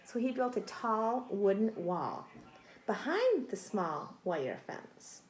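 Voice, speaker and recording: A woman in her thirties or forties reads a children's storybook expressively, with deliberate dramatic emphasis, speaking directly to young listeners. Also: mid-range voice, sing-song. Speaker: teacher reading a picture book aloud to children. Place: small indoor room. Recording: roomy echo, faint noise.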